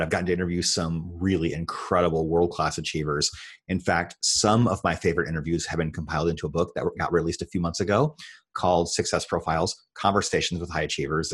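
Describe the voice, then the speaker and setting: American male interview guest, very low register, slightly rough voice, earnest and emphatic delivery. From Iowa, United States. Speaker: podcast guest, internet radio show host. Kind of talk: conversational interview storytelling.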